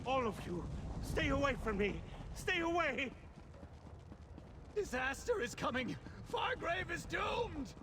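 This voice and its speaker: A man's voice: very high-pitched, big pitch swings, takes it slowly, pauses often, heavily acted. A man playing a panicked doomsayer in a video game, wailing frantic warnings. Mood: frantic, shouting. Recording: noisy game street ambience behind.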